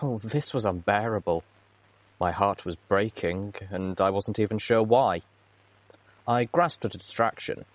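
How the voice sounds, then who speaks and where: A man reading a story aloud as a scripted, expressive narration. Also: on the low side, unhurried, no room sound. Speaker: audiobook reader.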